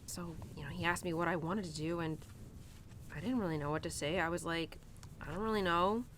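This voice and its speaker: A woman acting as an earnest young cowgirl in an intimate audio roleplay, confiding in her horse. Her voice sits a little low for a woman. She rambles hesitantly, stumbling and restarting her sentences, over a rumbly, noisy background. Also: fairly quick, a bit bright, natural voice. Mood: frustrated, self-doubting.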